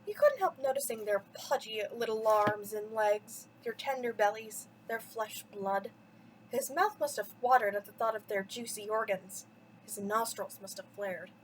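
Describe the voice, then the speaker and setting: A woman's voice: fairly high pitch, slight background noise, low-quality recording. A woman reading a mythology story aloud for children as a storyteller, with dramatic, theatrical emphasis. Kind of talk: expressive bedtime story read-aloud.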